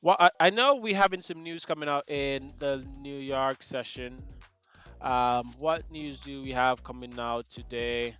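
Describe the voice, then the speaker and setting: A man's voice: mid-range voice, measured pace, a bit bright, clean tone. Laid-back guy from jamaica running a trading live stream, jokey, answers chat, faint music behind. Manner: joking, chatty, reads out chat questions. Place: home setup, UK.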